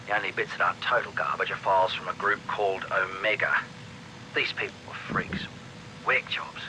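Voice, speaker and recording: An actor playing a cocky, sardonic mercenary commander giving a blunt briefing in a video game audio log. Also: male voice, fairly low pitch, bright, forceful tone, slight background noise, rough recording.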